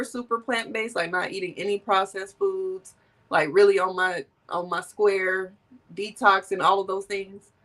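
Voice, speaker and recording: Woman host with a cold, nasal, lively and laughing, heard a little off-mic. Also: mid-range voice, distant mic. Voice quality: animated and laughing often. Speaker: podcast host. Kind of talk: casual conversation.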